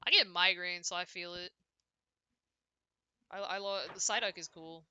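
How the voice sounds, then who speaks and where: A young woman chatting casually and loudly on a game livestream, rambling and often cutting herself off as she plays. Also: lowish voice, a little slow, slight background noise. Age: young adult. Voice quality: loud voice. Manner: rambling, self-interrupting, frequent "like". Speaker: video game streamer. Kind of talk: casual livestream chat while playing.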